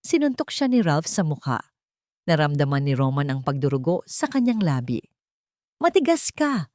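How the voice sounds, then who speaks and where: Low-voiced woman narrating a drama, dry recording. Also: sing-song, normal speed, mellow, dry recording. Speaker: audiobook narrator. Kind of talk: scripted dramatic story narration.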